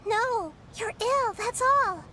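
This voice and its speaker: An actress voicing a small, wise, gentle young girl deity in a video game, with an earnest, composed manner. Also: very high voice, slow pace, slight background noise, full character voice. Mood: earnest pleading. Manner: earnest, composed, softly solemn. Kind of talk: scripted video game acting.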